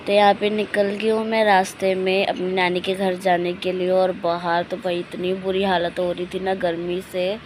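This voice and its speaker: A young woman with a hoarse, sore-throated voice, chatting casually in a vlog voice-over and speaking straight to her viewers. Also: on the low side, completely flat delivery, moderate pace, hardly any pauses, slight background noise. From Ludhiana, India. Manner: chatty, addresses viewers directly. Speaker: family vlogger.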